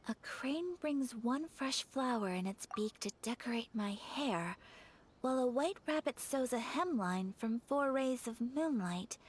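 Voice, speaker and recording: A woman voicing a gentle, polite young noblewoman in a video game who speaks softly and formally, at times in a whisper. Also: on the high side, expressive, unhurried, breathy edge. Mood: gentle, thoughtful. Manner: soft-spoken and formal, often whispering.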